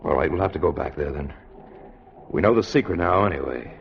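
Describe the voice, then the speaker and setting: A man's voice: a bass voice, brisk, vocal fry. A man acting a resolute scientist in a 1950s radio drama, urgent and authoritative, with a declamatory delivery. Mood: grave. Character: resolute, commanding male scientist leading an expedition. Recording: muffled, noisy old radio broadcast.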